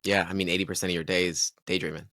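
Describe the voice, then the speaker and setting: A man hosting a podcast, speaking reflectively and haltingly, often restarting his sentences. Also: sits low, level tone, sharp tone, no echo, studio quality. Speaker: podcast host. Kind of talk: casual podcast conversation.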